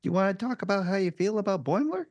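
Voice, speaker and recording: Woman as young male engineer, friendly, curious, supportive, very lively pitch, sci-fi comedy table read. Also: fast talker, natural voice.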